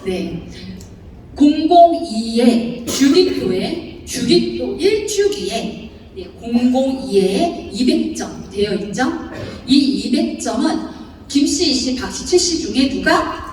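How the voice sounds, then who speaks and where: A high-pitched woman with a Chungcheong accent lectures in a large hall. Her voice swings widely up and down as she laughs and quizzes the audience. She sounds distant, and a long echo hangs on every word. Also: slow pace, a little hiss or hum. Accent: Chungcheong Korean. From Seocheon, South Chungcheong, Korea. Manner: lively, laughing often, asks the audience questions. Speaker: seminar speaker. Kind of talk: seminar lecture explaining a bonus chart. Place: large lecture hall before about 800 people. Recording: echoey hall recording from far off.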